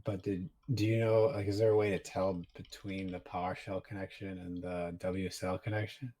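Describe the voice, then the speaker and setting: A man with a husky, dark and fairly low voice chats casually as a collaborator on a coding stream. He speaks tentatively, often in questions about the technical details. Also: flat delivery, moderate pace.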